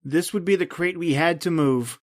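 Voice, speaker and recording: An actor playing a polite, deferential young fiancé growing uneasy, in old-time radio drama style. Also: male voice, a little high, normal speed, lightly acted.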